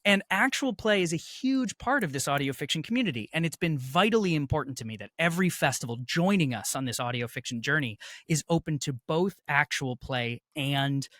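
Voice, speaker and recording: A man's voice: on the high side, animated, brisk, hardly any pauses, fairly bright tone, dry recording. Articulate man in a dry-sounding interview. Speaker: interview guest, festival director and podcaster. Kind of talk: conversational interview, explaining at length.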